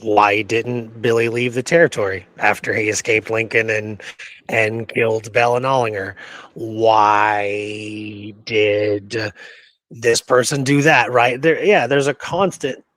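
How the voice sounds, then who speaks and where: A man's voice: lowish voice, fairly even intonation, slow pace, a bit bright, no room sound. A man co-hosting a history podcast in a casual, conversational way, often checking that his listener follows. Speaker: podcast co-host.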